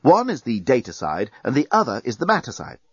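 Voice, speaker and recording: A man's voice: mid-range voice, huge pitch swings, a little fast, husky, dry recording, lo-fi sound. A man voicing a brash, impatient male alien journalist, urgent and insistent, in a science fiction audiobook. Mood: impatient explaining.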